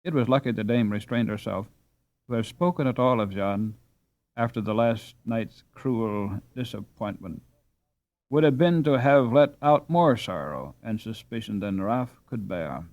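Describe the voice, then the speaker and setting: A man's voice: lowish voice, expressive, unhurried, darkish tone, dry recording, highs cut off. A man reading a storybook aloud as scripted audiobook narration.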